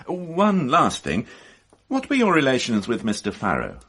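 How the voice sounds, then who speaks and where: A man's voice: medium pitch, huge pitch swings, a little slow, fairly bright tone, slightly rough, full character voice. An actor playing a shrewd police inspector in a radio drama, courteous, teasing and sardonic as he probes. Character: wry, shrewd male police inspector, politely probing.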